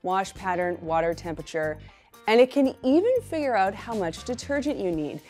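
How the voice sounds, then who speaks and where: A woman's voice: medium pitch, animated, normal speed, a little mellow, faint music behind. A woman in her thirties hosting a cleaning channel, giving an upbeat, enthusiastic walkthrough of products while touring a large indoor appliance showroom on a lapel mic. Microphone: small lapel mic.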